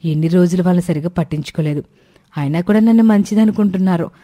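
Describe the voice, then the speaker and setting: A woman's voice: on the low side, quick pace, mellow, no room sound, studio quality, lightly acted. Woman playing a greedy, gushing young daughter-in-law. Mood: greedy surprise. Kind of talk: Telugu moral cartoon story voice acting.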